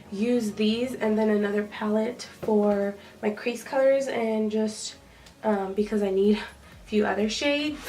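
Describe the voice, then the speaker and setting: A young woman vlogger with a stuffy, nasal voice from a cold, chatting casually with lots of ums as she does her makeup. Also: fairly high pitch. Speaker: beauty vlogger. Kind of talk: casual makeup tutorial talk. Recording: slightly distant with faint noise.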